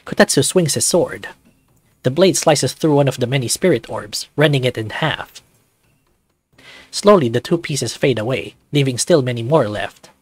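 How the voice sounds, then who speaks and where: A man's voice: mid-range voice, dry recording. A man reading a visual novel aloud for his channel, with casual, hesitant asides. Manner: casual, hesitant, frequent "uh" fillers. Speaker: YouTube visual novel reader.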